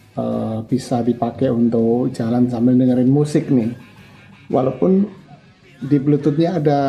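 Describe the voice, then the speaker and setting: An older man talks through a product review in a loose, chatty way, stopping often with fillers and restarts. His voice is deep and mellow, and he speaks slowly. Faint music plays behind him. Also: gravelly. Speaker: motorcycle gear reviewer.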